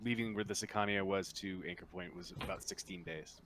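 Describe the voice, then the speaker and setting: A man acting as game master, explaining the game casually and haltingly in an informal voice chat. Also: sits low, noisy background. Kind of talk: casual tabletop roleplaying narration and chat.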